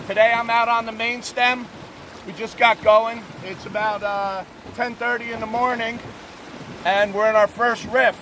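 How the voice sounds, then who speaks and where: A man with a fairly high voice talks in an easygoing, unpolished way, with plenty of "uh"s, as he reports on the fishing conditions. He speaks outdoors from a boat to a camera close by, and faint rushing river noise sits under his voice. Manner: relaxed, plainspoken, filler-heavy. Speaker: fishing guide. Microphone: camera mounted on the boat at arm's length. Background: rushing river water.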